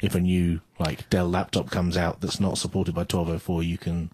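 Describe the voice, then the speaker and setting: A man's voice: sits low, flat delivery, a little fast, a little mellow, slight background noise. A man co-hosting a podcast in casual, chatty banter about news, with a dry, teasing sense of humour. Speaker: podcast co-host.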